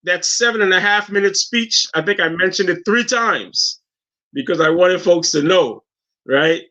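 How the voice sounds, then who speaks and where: A man in his fifties from Guyana with a Guyanese accent, telling stories in an animated, heartfelt way as a guest on a casual talk show, often restarting his sentences. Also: high voice, moderate pace. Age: 50s.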